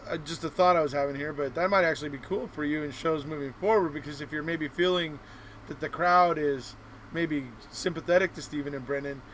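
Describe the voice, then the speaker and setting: Male host, slightly high voice, supportive and wordy conversational style, light ambient noise. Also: fairly even intonation, brisk, a bit bright, rough recording. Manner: encouraging, wordy. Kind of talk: casual interview chat.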